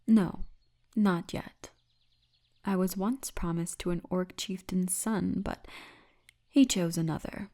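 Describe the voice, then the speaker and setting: A woman voicing a friendly female orc tour guide in an intimate ASMR roleplay, speaking softly and often breathily. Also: lowish voice, fairly even intonation. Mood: teasing, wistful. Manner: soft, intimate, unhurried storytelling, often breathy. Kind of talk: ASMR roleplay, addressed to the listener.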